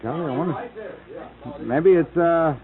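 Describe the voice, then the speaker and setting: A man's voice: on the high side, measured pace, phone-quality sound, crowd noise. An American man hosting a casual early-morning radio show, chatting in a folksy, joking, rambling way on a vintage 1950s AM broadcast. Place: radio studio.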